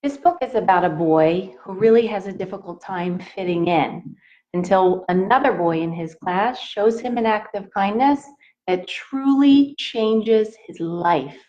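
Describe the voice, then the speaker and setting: A woman teacher reading a picture book aloud to young children in a deliberate, expressive way, speaking straight to her listeners. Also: medium pitch, highs cut off.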